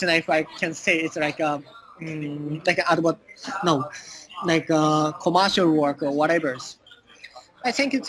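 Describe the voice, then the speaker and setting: A man with a Japanese accent answering as an interview guest, speaking halting non-native English full of filler phrases. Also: sits high, low-quality recording. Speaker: interview guest, art collective communications director.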